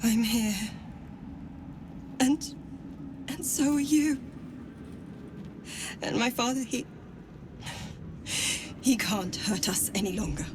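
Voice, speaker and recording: A woman playing a gentle, haunted daughter in a video game cutscene, speaking in a halting, soft way. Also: fairly high pitch, lively intonation. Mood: tender, relieved. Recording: clear background noise and ambience.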